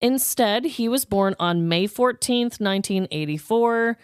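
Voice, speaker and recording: A woman's voice: a little high, a little slow, clear, resonant tone, no room sound, studio quality. A woman co-hosting a comedy podcast in casual, jokey chat, with a giggly, foul-mouthed manner. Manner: jokey, giggly, freely swearing. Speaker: podcast co-host.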